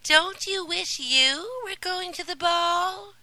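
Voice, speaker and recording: A woman's voice: extremely high pitch, slow, pushed and bright, dry recording, pitched above the performer's own voice, exaggerated voice. A woman voicing the rude, proud stepsisters, jeering and scornful in a storybook reading. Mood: taunting. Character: mocking, rude proud stepsisters, young women.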